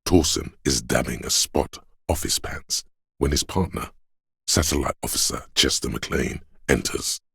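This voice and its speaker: A man with a gravelly, husky voice reading scripted literary narration for a video game in a measured, solemn, deliberate way. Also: very deep voice, a bit bright, no room sound, studio quality.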